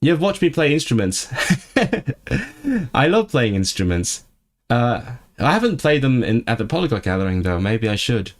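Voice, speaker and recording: Non-native english guy on a livestream, chatty, mid-pitched, reading chat and answering on the fly. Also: sing-song, moderate pace. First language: not English. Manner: chatty, reads and answers live chat, frequent restarts. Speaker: livestream host. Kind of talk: casual livestream chat with viewers.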